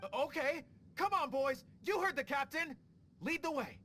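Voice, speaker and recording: An actor playing an eager, deferential rogue in a video game who wants to go straight, earnest, excitable and a little hesitant. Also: male voice, extremely high pitch, normal speed, slight background noise, exaggerated voice. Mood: excited, eager.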